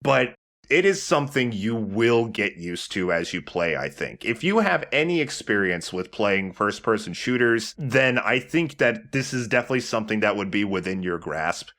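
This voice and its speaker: A man's voice: fairly low pitch, animated, normal speed, a bit bright. A man giving casual, opinionated video game commentary with plenty of swearing. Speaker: let's play commentator. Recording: background music under the voice. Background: game music.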